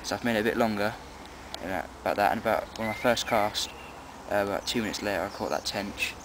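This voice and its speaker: A man's voice: fairly low pitch, quick pace. A young man casually explaining his fishing setup as he goes, filmed outdoors by a pond on a handheld camera. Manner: relaxed, chatty, explains as he goes. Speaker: angler vlogger. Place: outdoors by a pond among trees. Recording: loud outdoor noise.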